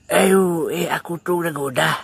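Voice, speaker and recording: A man's voice: a little high, moderate pace, gravelly, husky, no room sound. A man voicing a good-natured old grandfather, joking and laughing with his friend and muttering asides to himself in an amateur audio drama. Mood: jovial. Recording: noisy amateur recording.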